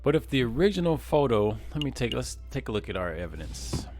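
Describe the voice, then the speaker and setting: A man's voice: mid-range voice, big pitch swings, a little fast, darkish tone, soft background music. A man streaming a game, casually thinking aloud in a muttering, musing way.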